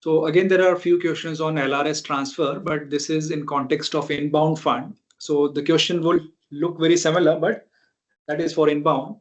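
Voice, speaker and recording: Indian-accented male moderator, slightly high voice. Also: level tone, normal speed. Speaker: moderator reading out investor questions. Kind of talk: conference call moderation, reading questions aloud. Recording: dull, lo-fi conference call audio.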